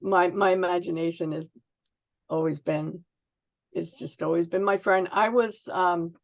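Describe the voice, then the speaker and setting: Low, creaky older Canadian woman. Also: fairly even intonation, measured pace. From Ontario, Canada. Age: 60s. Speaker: author being interviewed. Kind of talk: casual interview storytelling.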